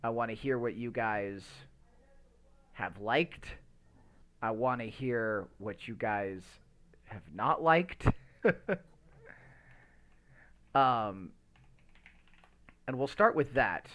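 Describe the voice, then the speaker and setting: A man's voice: medium pitch, very slow, pauses often, a bit bright. A man hosting a casual podcast and chatting to a live stream, with a rambling, stammering delivery and loose, sweary language. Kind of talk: casual unscripted podcast chat.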